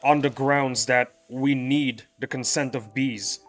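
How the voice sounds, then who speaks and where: Young male streamer; mid-pitched, rambling and casual; faint music bed. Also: fairly even intonation, slow, a bit bright. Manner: rambling, loose, often restarts sentences. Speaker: game streamer. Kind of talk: casual livestream chat with viewers. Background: faint background music.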